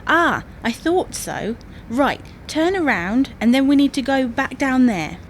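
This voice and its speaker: High-voiced, brisk British wife, played by an actress. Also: female voice, lively intonation. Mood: grudging, insistent. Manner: nagging, brisk, insistent. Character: exasperated, bossy wife navigating for her husband. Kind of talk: domestic comedy audio drama. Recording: noisy, car ambience.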